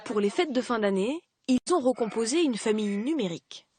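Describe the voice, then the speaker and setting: A woman reading scripted voice-over narration for a television documentary report, in a measured, even delivery. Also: fairly high pitch, crowd noise.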